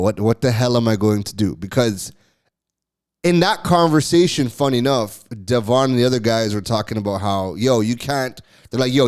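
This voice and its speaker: A middle-aged man hosting a podcast, telling a casual story in an animated way, laughing often and restarting his phrases. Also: medium pitch, clear, resonant tone. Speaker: podcast host.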